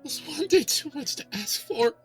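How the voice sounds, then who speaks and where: A man's voice: very high voice, lively intonation, vocal fry, pitched above the performer's own voice, exaggerated voice. A man voicing a grieving, bewildered young male pony in a dramatic fan fiction reading, with music behind him. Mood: anguished, crying out. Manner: anguished, slow, dramatic delivery.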